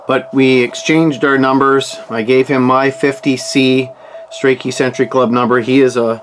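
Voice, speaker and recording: Man in casual commentary, clear background noise. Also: medium pitch, flat delivery, moderate pace. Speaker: amateur radio operator. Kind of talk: casual radio demo commentary. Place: indoors at a desk.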